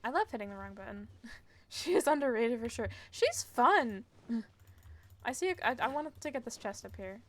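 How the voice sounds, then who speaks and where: A woman's voice: a little high, animated, moderate pace, a bit bright. A woman streaming a game, giving casual live commentary in a giggly, gushing way and reacting aloud as she plays. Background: game music.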